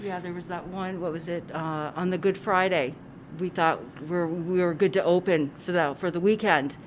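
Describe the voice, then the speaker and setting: Lady cinema manager, lowish voice, chatty and earnest, lots of ums, faint lobby noise. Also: fairly even intonation, moderate pace. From Ontario, Canada. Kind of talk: casual interview. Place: theatre lobby.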